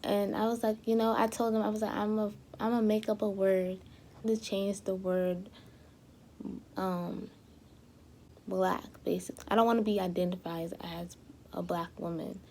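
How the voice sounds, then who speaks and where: A young woman vlogging casually to her viewers, a little hoarse as she loses her voice, rambling with lots of fillers and restarts. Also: medium pitch, flat delivery, normal speed, darkish tone, slight background noise. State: slightly hoarse, losing her voice. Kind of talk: casual talk to camera.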